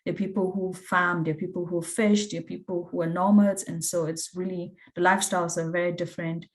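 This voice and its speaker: A woman's voice: lowish voice, flat delivery, brisk. Cameroonian-accented woman giving a presentation. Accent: Cameroonian English. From Cameroon, West Africa. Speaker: academic researcher, guest speaker. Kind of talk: slide presentation. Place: online video call.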